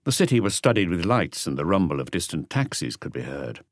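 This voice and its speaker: A man's voice: sits low, big pitch swings, a little fast, no room sound, studio quality. A man reading a crime novel aloud as scripted literary audiobook narration.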